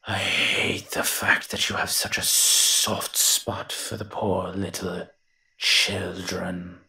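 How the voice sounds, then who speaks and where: A man voicing a grumbling, reluctant invisible monster with a secret soft heart in an audio roleplay, dry and sardonic. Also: low voice, level tone, slow pace, vocal fry, heavily acted. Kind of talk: scripted character monologue, audio roleplay.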